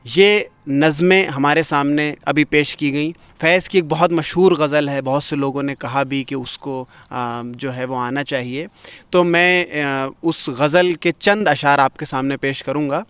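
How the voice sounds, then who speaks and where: Man, poetry host, slightly high voice, dry close sound, expressive literary delivery, measured. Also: clean tone, no room sound. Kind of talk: Urdu poetry recitation and commentary.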